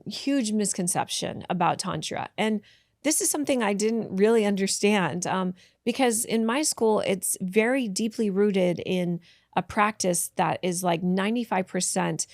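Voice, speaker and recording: A woman's voice: mid-range voice, unhurried, no echo, studio quality. A woman guest telling her life story in a candid, reflective way, often restarting sentences. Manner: frank, reflective, with restarts and soft asides. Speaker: podcast guest, tantra teacher.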